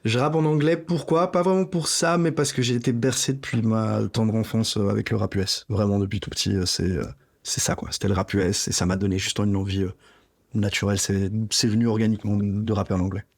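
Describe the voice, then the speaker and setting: A man's voice: on the low side, fairly even intonation, quick pace. A man in his thirties from Belgium, a Greek speaker, chatting casually as a rapper in an interview. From Belgium, Greek parents.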